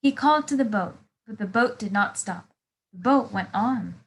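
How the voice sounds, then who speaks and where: Higher-pitched woman reading a children's story. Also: expressive, moderate pace. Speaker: volunteer storytime reader.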